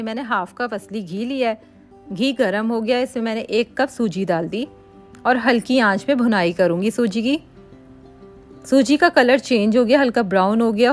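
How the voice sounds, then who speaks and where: A woman hosting a cooking channel, calmly explaining a recipe step by step in a voice-over and mixing English words into her talk. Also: a little high. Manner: calm, instructive, mixes English words into Hindi.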